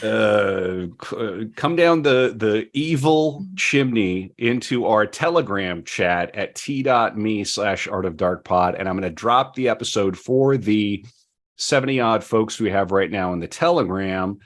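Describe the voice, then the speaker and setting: A man's voice: lowish voice, lively intonation, unhurried. A man hosting a podcast in casual, playful banter with a jokey, theatrical way of talking. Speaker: podcast host.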